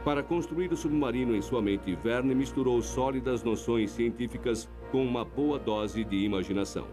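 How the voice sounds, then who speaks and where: A male narrator gives a documentary voice-over at a middling pitch, his delivery measured and even. Light music runs quietly underneath.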